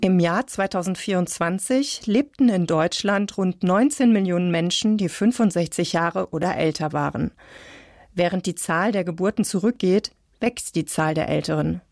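A woman reading a scripted science narration for radio in a measured, clear way. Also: on the low side, a little mellow, no echo, studio quality.

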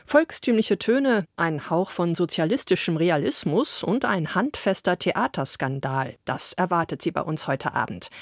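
A woman's voice: on the low side, dry recording, clean studio sound. A woman presenting a classical concert broadcast on the radio, telling the story of the music in a light, engaging way.

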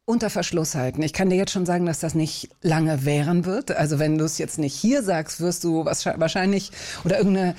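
A woman's voice: on the low side, fairly quick, few pauses, mellow. A woman interviewing a guest, asking probing and playful questions with occasional fillers.

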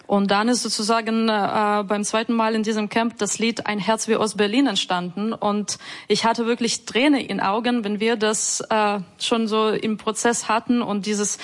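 A woman from Ukraine, a musician guest speaking German as an in an enthusiastic radio interview, with frequent fillers. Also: mid-range voice. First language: not German.

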